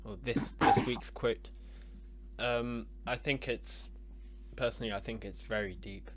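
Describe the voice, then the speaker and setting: A man's voice: medium pitch, steady tone, measured pace, very noisy. A man co-hosting a comedy podcast, talking in a hesitant, mumbling way full of fillers. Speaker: podcast co-host. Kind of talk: casual comedy chat.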